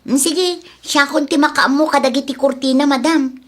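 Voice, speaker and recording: A woman's voice: high voice, steady tone, moderate pace, fairly bright tone. A Filipino-accented woman playing an eager, flirtatious housemaid in a comedy drama, giggly and coy. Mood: eager, deferential. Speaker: actor.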